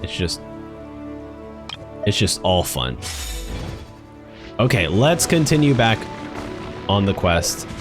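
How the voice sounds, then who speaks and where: A man's voice: fairly low pitch, very animated, takes it slowly, long pauses. A man streaming a video game, giving casual, joking reaction commentary over game music. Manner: playful, joking.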